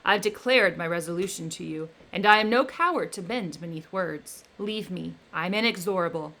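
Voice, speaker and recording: A woman reading aloud the lines of a defiant young male scientist, declaiming them loudly and emphatically. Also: mid-range voice, normal speed, natural voice. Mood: furious.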